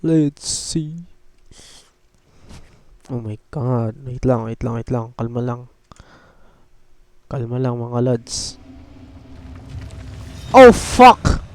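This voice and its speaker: A man's voice: medium pitch, lively intonation, drawn-out words, long pauses, darkish tone, no echo. A man with a Filipino accent giving casual, joking, slangy reactions as he streams a video game. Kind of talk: casual gameplay commentary.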